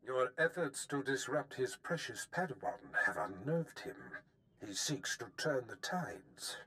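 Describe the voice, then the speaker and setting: An actor playing a scheming, imperious older Sith master in a video game, haughty, theatrical and deliberate. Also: male voice, medium pitch, sing-song, bright, forceful tone, slight background noise.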